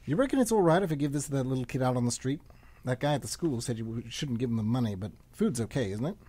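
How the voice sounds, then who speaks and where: A man's voice: medium pitch, animated, fast, mellow, lightly acted. A man acting an eager, easily wounded traveller in radio theatre, speaking in a colloquial, earnest, plaintive way. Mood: friendly. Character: friendly, wounded, eager Australian traveller Len, newly arrived volunteer teacher. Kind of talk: stage-play dialogue for radio theatre.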